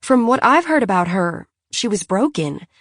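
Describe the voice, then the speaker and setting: A woman's voice: medium pitch, very animated, moderate pace, fairly bright tone, no echo, clean studio sound, pitched above the performer's own voice. A woman reading an audiobook, voicing a polite female investigator who asks probing questions.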